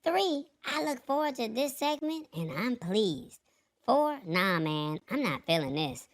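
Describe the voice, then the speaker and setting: A woman's voice: a little high, big pitch swings, measured pace. A woman hosting a live show in a casual, chatty style. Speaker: show host.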